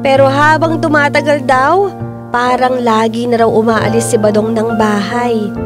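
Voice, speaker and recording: A woman's voice: on the high side, lightly acted. A woman playing an exasperated working mother who tells her own story in a radio drama, as a dramatized confession. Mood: recounting, concerned. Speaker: narrator and lead actress of a radio drama. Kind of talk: dramatized first-person confessional narration. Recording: music bed under the voice.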